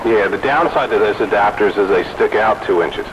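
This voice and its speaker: A man's voice: fairly low pitch, moderate pace. A man, a radio hobbyist, talking casually over a radio link. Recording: noisy, dull radio transmission.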